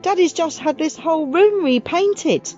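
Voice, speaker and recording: A woman's voice: very high-pitched, measured pace, darkish tone, dull audio, soft background music, voice pushed up. A woman voicing an exasperated, earnest young boy in a children's storybook, pleading and protesting anxiously. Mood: exasperated, cautioning.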